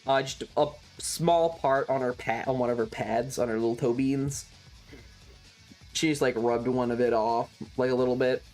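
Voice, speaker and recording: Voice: medium pitch, fairly even intonation, moderate pace, darkish tone, soft background music. A streamer telling a casual, rambling story, swearing freely and repeating words for emphasis.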